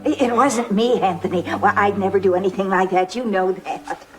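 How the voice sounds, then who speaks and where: A woman's voice: mid-range voice, normal speed, natural voice. An actress playing a fearful woman who nervously placates a dangerous child in a film drama. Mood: nervous denial. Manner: pleading, nervous. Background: music.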